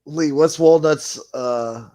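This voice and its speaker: A man's voice: fairly high pitch, slow pace, warm tone. A man in casual crosstalk chat who chimes in with short, jokey asides.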